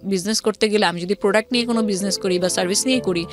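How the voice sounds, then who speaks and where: A woman's voice: mid-range voice, very fast. A woman with a Bangladeshi accent telling her personal story conversationally as an interview guest, mixing in English words. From Old Dhaka, Bangladesh. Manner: mixes English words into speech. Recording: music playing behind the voice. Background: background music.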